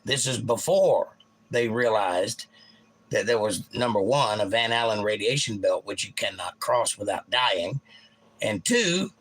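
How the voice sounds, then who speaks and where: Hoarse older man, slow folksy talk. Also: on the low side, lively intonation, poor audio. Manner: rambling, folksy storytelling. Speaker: livestream host. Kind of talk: casual chat, reading viewer comments. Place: home livestream with guitar. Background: loud guitar playing.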